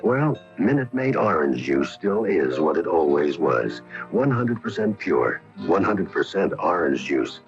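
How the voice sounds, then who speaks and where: Low-voiced male radio commercial announcer. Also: big pitch swings, a little slow, few pauses, over music. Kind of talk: scripted radio ad read. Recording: dull lo-fi AM radio broadcast recording.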